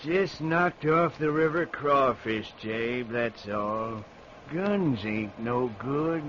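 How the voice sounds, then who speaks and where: A man's voice: medium pitch, animated, slow, a bit bright. An actor playing a vengeful drowned man back from the river, with a menacing rural drawl, in vintage radio horror style. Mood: taunting menace. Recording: muffled, noisy old radio recording.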